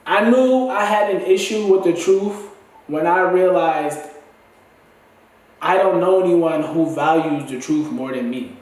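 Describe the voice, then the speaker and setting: A man's voice: on the high side, unhurried, a little mellow. A congested man with a dry throat, rambling in a loose, slangy way as he talks to his vlog viewers. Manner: loose, slangy, restarts often. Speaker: vlogger. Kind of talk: casual rambling monologue. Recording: very echoey room, mic at a distance.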